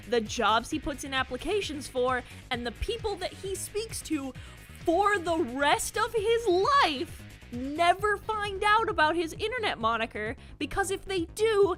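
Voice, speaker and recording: A young woman with a strikingly high-pitched voice reads a scripted rebuke. She is accusatory and emphatic, swearing as she goes. Faint music plays underneath her commentary. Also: a bit bright. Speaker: video commentator. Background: background music.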